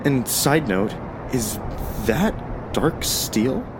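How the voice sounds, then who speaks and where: A man playing a suspicious, exasperated police officer in an audio drama, incredulous and scolding in a halting way. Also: mid-range voice, sing-song, noisy background. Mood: sarcastic, scolding.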